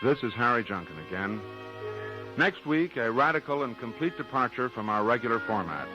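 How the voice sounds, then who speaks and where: A man's voice: medium pitch, lively intonation, moderate pace. A man hosting a 1940s radio program, giving a scripted preview and sign-off. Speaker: radio show director and host. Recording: dull vintage 1940s radio broadcast. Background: music.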